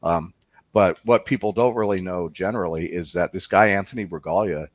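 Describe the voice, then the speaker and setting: A man's voice: low-pitched, fairly quick, dry recording. A man speaking as a filmmaker guest in a conversational interview, explaining things emphatically.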